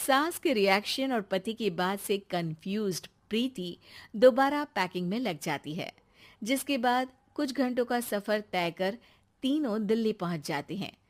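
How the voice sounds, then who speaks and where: A woman's voice: medium pitch, lively intonation, measured pace. A woman reading scripted narration for a family moral story.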